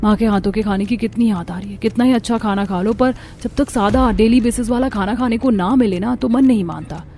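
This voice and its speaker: A woman's voice: medium pitch, fairly quick, mellow, slight background noise, natural voice. A woman voicing a polite, earnest young newlywed daughter-in-law in a cartoon. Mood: wistful.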